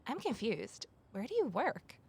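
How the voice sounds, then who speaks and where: A woman's voice: a little high, wildly swooping pitch, unhurried, darkish tone, breathy edge, full character voice. An actress playing a wry, curious office worker who narrates her own story in an audio drama. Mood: wry, skeptical. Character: wry, curious female former journalist, new office assistant. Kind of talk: audio drama, first-person narration and dialogue.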